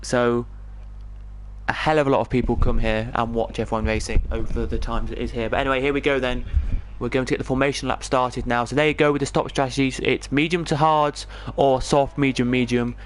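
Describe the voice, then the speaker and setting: A man's voice: mid-range voice, level tone, fairly quick, a little hiss or hum. A man from England with an English accent, giving chatty live commentary on an online racing league. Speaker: esports race commentator.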